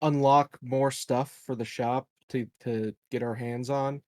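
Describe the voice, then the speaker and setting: A man's voice: medium pitch, fairly even intonation, unhurried, darkish tone. A man talking casually as a player in a tabletop game.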